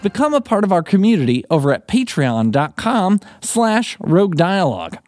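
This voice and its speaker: A man's voice: sits high, sing-song, moderate pace, over music. A man announcer reading scripted thank-you messages to supporters of an audio drama. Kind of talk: scripted sponsor acknowledgements.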